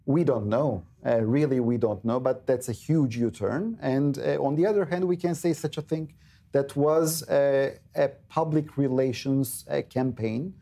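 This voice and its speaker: A man's voice: mid-range voice, moderate pace, creaky, warm tone. A man with a Turkish accent, speaking English as an expert guest in a TV news studio, earnest and full of fillers and restarts.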